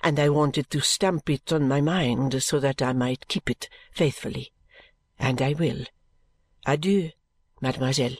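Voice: mid-range voice, unhurried, no room sound, voice pushed down. A narrator voicing a fervent, courtly Frenchwoman with a French accent, earnest and pressing in an audiobook story. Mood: intense politeness. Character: fervent, intense Frenchwoman seeking work as a lady's maid.

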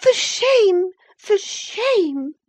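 A woman's voice: extremely high pitch, measured pace, dark tone, no echo, pitched above the performer's own voice. A woman voicing an infatuated, indignant girl in a storybook reading, protesting in a passionate, plaintive way. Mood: angry, defiant.

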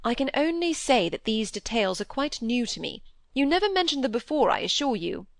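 A woman voicing a proud, touchy young gentleman in an audiobook, speaking formally and politely. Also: a little high, very animated, brisk, no room sound, pitched above the performer's own voice. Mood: stiffly polite. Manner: formal, polite, stiffly indignant. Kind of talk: audiobook character dialogue.

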